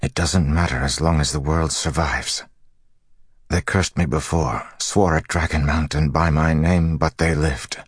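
A male narrator voicing a grim, weary hero with a low, gravelly, controlled voice in an audiobook. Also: fairly even intonation, a little slow, no room sound, studio quality, exaggerated voice. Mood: grim resolve. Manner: growling, clipped, controlled.

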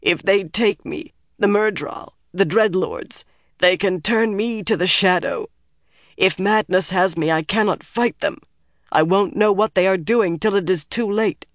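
A woman's voice: mid-range voice, unhurried, no room sound, clean studio sound, pitched above the performer's own voice, close to an everyday voice. A woman narrator voicing a tortured young man in an audiobook, strained and pleading desperately.

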